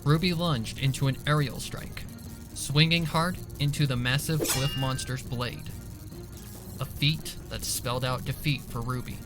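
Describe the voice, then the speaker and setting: A man's voice: mid-range voice, fairly even intonation, a little slow, music in the background. A man reading scripted dramatic story narration as the narrator of an audio drama.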